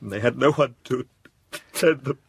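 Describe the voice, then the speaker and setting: An actor playing a grief-stricken, courteous gentleman in an audio drama, subdued, polite and terse. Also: mid-range voice, unhurried, vocal fry, breathy edge, no echo, exaggerated voice.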